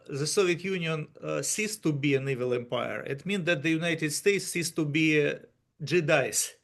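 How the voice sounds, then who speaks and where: A male historian answers questions over a video call, stumbling through fillers and quick laughs. His voice is gravelly with plenty of fry. He holds a middle pitch. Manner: hesitant, many fillers, laughs often. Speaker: historian and journal editor. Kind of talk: webinar discussion.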